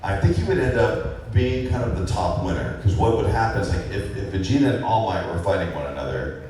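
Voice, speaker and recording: A man's voice: low-pitched, expressive, fairly quick, darkish tone, slight background noise. A man answering fan questions as a voice actor on a convention panel, casual and rambling, with lots of fillers and chuckles. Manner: rambling, laid-back, many fillers and chuckles. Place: large convention hall. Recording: echoey hall recorded from far off.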